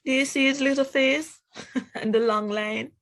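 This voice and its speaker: A woman reading a children's storybook aloud in a deliberate, expressive way, speaking directly to young listeners. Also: sits high.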